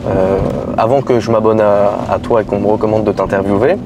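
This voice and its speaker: A man hosting an interview show, asking questions in a halting, teasing way with many fillers. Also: lowish voice, fairly even intonation, muffled recording. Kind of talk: casual interview in a car. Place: inside a car on city streets.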